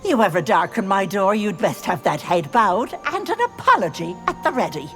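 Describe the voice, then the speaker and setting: A woman's voice: medium pitch, sing-song, normal speed, fairly bright tone, soft background music. A woman playing a wily old hag in a video game, British-accented, wheedling with false grandmotherly sweetness and scorn. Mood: scornful. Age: older. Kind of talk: video game character acting.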